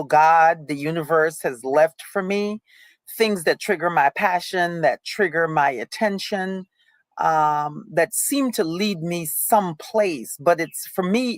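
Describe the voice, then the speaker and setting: A middle-aged woman with a low voice moderates a panel and turns to the audience as she reflects. The sound is dry and close. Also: no echo. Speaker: panel host. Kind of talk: hosting a panel discussion.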